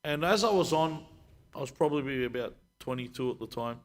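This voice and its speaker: Male speaker in his 30s, casual conversational sheikh, frequent restarts, mid-pitched. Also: expressive, fairly quick. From Lebanon. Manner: conversational, storytelling, many restarts. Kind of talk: live panel discussion.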